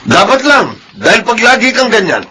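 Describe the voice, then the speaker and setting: A man's voice: high-pitched, huge pitch swings, moderate pace, bright, forceful tone, slightly rough, exaggerated voice. A Filipino actor playing an arrogant, hot-tempered male office supervisor in a radio drama, with a haughty, domineering manner. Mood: irritated, scolding. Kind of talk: radio drama acting in Tagalog.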